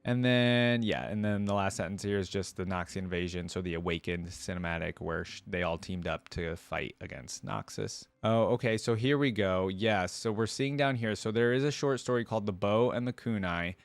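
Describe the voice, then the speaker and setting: A man with a fairly low voice, recorded dry with very little room sound. He hosts a reaction video, moving between reading text aloud and offering casual, informal comments of his own. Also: normal speed, creaky, few pauses, dry recording.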